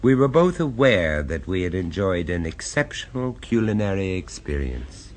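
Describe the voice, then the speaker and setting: A genteel dinner guest tells the tale in a vintage radio drama. His voice sits very low but moves widely, wry and faintly amused as he doubts his host. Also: big pitch swings, moderate pace. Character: skeptical English dinner guest, first-person narrator. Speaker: narrator and diner. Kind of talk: 1970s radio drama acting. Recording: old radio broadcast recording.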